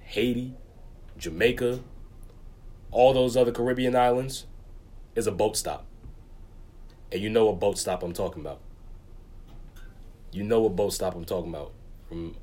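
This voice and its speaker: A man's voice: lowish voice, warm tone. A man hosting a casual conversation, agreeable and restating his points as he builds them.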